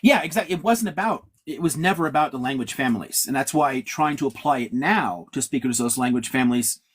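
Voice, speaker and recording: A man talks through history in a frank, lecturing way, swearing freely and stacking up emphatic asides. His voice has a husky, slightly breathy edge, and he sounds like he is on a video call. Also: mid-range voice, fast, a bit bright. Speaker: guest historian. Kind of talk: conversational interview answer.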